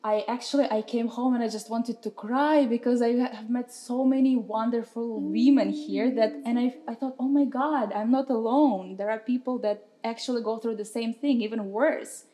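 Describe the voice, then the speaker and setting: A woman's voice: fairly high pitch, steady tone, normal speed, few pauses, mellow. A woman from Russia with a Russian accent, speaking openly and expressively as she tells her story in a casual podcast interview. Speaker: podcast guest.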